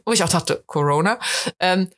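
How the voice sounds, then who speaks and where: A woman's voice: fairly low pitch, measured pace. Chatty Rhineland woman telling stories. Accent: Rhineland German. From Rhineland, Germany. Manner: animated storyteller, acts out her own quotes. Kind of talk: casual chat.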